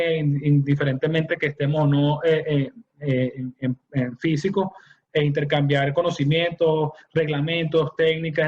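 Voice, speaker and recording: A man hosting a conversational interview, speaking haltingly and repeating words as he goes. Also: fairly high pitch, darkish tone, highs cut off. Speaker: interviewer, host.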